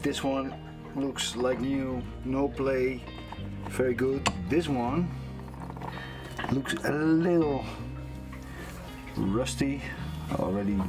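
A man in his fifties casually talking through a motor repair at a small workbench, thinking aloud as he works. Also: mid-range voice. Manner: slow, relaxed, thinking aloud while working with his hands. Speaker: sailing vlogger repairing boat gear. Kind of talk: casual how-to narration. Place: small workshop bench aboard a boat.

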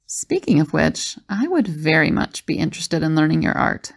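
A woman acting as a calm, curious and perceptive companion in an audio drama, with a creaky voice and probing manner. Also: sits low, moderate pace, full character voice. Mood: intrigued.

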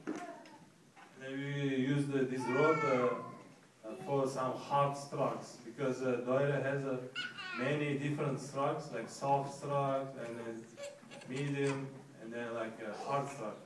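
Male percussionist, Uzbek accent, halting stage explanation, middle pitch, distant mic in a very reverberant theater. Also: fairly even intonation. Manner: halting, searching for English words. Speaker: percussionist giving a demonstration. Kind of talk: live stage talk introducing an instrument. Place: large theater stage, indoors. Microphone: stage microphone, recorded from the audience. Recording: echoey, noisy theater recording from far off.

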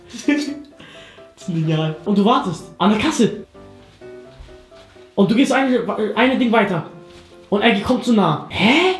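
Young man, excited slangy talk, echoey room. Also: high voice, takes it slowly, long pauses. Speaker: player guessing in a pantomime game. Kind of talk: casual joking game chat among friends. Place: echoey room indoors at home. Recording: echoey room with music behind.

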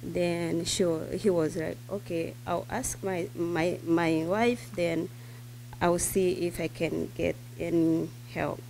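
A middle-aged mother whose first language is not English, speaking haltingly and searching for words at a school board meeting. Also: fairly low pitch, steady tone, vocal fry, a little mellow, a little hiss or hum, poor audio. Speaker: parent speaker. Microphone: podium microphone.

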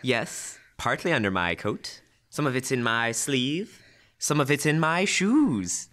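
A man's voice: medium pitch, expressive, unhurried, a bit bright. A young man playing an earnest, flustered, apologetic husband in old-time radio comedy. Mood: flustered, evasive. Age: teens or young adult. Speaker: high school student actor. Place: school library room. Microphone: stand microphone.

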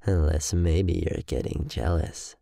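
A man's voice: sits low, steady tone, slow, gravelly, mellow, no room sound, clean studio sound, exaggerated voice. A man playing a cruel, smug young demon bully who drawls tauntingly in an audio roleplay. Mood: feigned sincerity. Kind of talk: ASMR audio roleplay acting.

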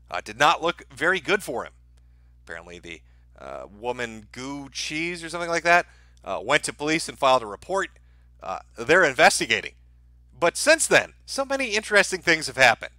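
A man hosts a livestream with casual, mocking commentary and plenty of swearing. His voice is lively, swinging widely in pitch, with a sharp, bright edge. The sound is dry and close, and his delivery is sardonic. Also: no echo. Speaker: livestream host.

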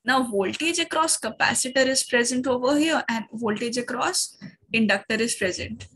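Indian-accented woman physics teacher, sore-throated and husky, step-by-step online lecture. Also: on the high side, normal speed, a bit bright. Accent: Indian English. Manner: explains step by step, addressing the class often.

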